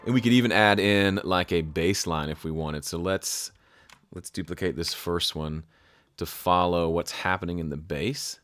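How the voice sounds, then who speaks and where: A man giving a casual, hands-on software tutorial, thinking aloud and improvising as he goes. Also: low-pitched, moderate pace, faint music behind. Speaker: music production tutorial host.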